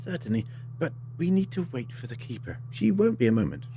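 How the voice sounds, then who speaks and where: A man's voice: on the high side, sing-song, brisk. A man acting in an audio drama as a resolute, improvising time-travelling hero, by turns hesitant and decisive. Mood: confident. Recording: clear background noise.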